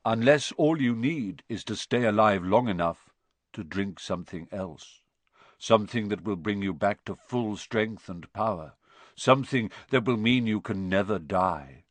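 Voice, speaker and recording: A man voicing a grave, wise centaur in an audiobook, speaking in a measured, solemn and cryptic way. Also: lowish voice, dry recording. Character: grave, wise male centaur, calm and foreboding. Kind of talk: audiobook character dialogue.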